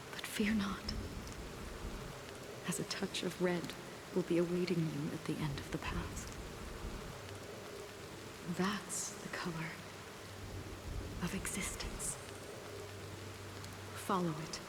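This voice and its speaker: A melancholy female wanderer from a video game, speaks in a low and very unhurried voice. She is soft and solemn, her measured phrases trailing into breath, like a guide leading souls to death. Rain patters clearly behind her. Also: noisy background. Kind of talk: dramatic video game voice acting.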